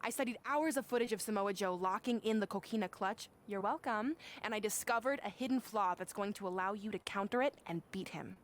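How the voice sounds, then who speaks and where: A woman's voice: medium pitch, brisk, few pauses, natural voice. Actress as a bossy female wrestling coach, noisy backdrop. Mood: exasperated, smug. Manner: curt, condescending. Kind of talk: video game cutscene voice acting.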